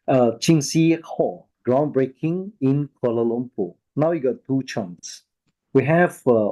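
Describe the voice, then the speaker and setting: A man with a Malaysian accent giving an informal talk with personal anecdotes, in halting, repetitive English phrasing. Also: mid-range voice, warm tone, rough recording. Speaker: volunteer speaker at an online Buddhist charity talk.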